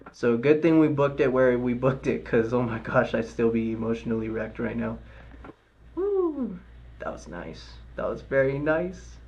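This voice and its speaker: A man's voice: mid-range voice, darkish tone, lo-fi sound. A man with a light voice hosting a live trading stream, chatting casually with viewers and cheering on his trades. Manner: hyped, cheering and chanting during trades.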